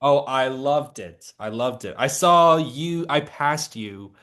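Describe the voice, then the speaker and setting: A man's voice: medium pitch, takes it slowly, a bit bright. A man hosting a casual interview, talking with the enthusiasm of a fan. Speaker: podcast host, interviewer. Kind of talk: casual chat.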